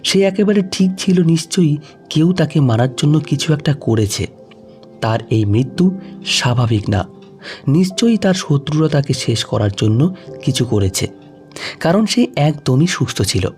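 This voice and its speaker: A man's voice: fairly high pitch, expressive, moderate pace, a little mellow, faint music behind, close to an everyday voice. A man voicing a distraught, insistent young woman grieving her lover in an audio story. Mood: grieving, disbelieving.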